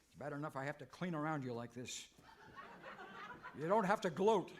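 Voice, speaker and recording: Radio western lead, male actor: earnest, put-upon American masked hero, slightly raised pitch, comic timing. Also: expressive, normal speed, slight background noise, natural voice. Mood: exasperated. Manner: earnest, long-suffering. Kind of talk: comic old-time radio western acting.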